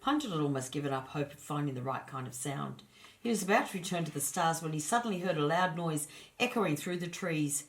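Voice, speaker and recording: A woman with a low-pitched voice narrates a children's story. Her reading is scripted, expressive and deliberate, in the manner of a storyteller.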